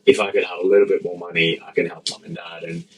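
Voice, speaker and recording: British man, very low voice, gravelly fry, chatty, sort-of-and-you-know phrasing, podcast guest. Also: very animated, quick pace, rough recording. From born in India, raised in the UK. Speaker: podcast guest, venture fund founder. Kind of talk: casual interview conversation. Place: office.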